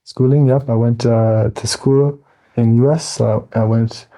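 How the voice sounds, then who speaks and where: An American man in his forties talking casually as an interview guest, easygoing and meandering, often pausing mid-thought. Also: mid-range voice, fairly even intonation, warm tone. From Wisconsin, United States. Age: 40s.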